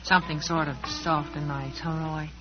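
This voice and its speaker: A woman's voice: deep voice, flat delivery, normal speed, close to an everyday voice. A kindly, motherly ranch woman from an old radio western played by a woman, folksy and soothing. The vintage recording is muffled, with faint music behind her. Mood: tender. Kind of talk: 1940s radio western acting.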